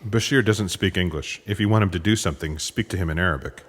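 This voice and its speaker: A dry-witted, patient intelligence agent acted by a man for comic sci-fi audio theatre. His voice sits a little low and stays wry. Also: fast talker, slightly rough.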